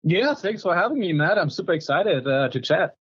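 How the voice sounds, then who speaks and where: A man's voice: high voice, big pitch swings, a little fast, a bit bright, husky, lo-fi sound. A man in his forties from Germany with a German accent, a company founder telling stories in a casual interview, chatty and full of fillers.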